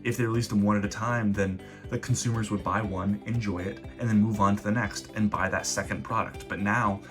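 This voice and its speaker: Guy with a cold rambling through a casual explainer, lowish voice, guitar music going behind him. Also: steady tone, brisk. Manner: rambling, restarts often. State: coming down with a cold. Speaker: host of a card collecting channel. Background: background guitar music.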